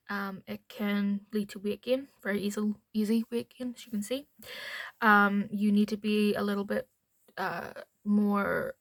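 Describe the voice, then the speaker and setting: A woman's voice: mid-range voice, dry recording. A woman with a mild Northern Irish accent chatting casually to camera at home, rambling into asides as a beauty vlogger. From Northern Ireland.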